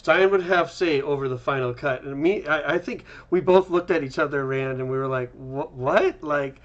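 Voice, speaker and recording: A man's voice: fairly high pitch, normal speed, lo-fi sound. A man hosting a casual developer chat in an informal, conversational way. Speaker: host, game developer.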